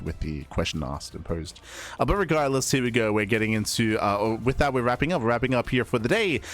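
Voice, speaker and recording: A man casting esports, winding down a stream in a chatty, rambling way, his voice still recovering after he lost it. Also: lowish voice. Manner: chatty, rambling with fillers and restarts. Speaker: esports caster. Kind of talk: casual stream sign-off.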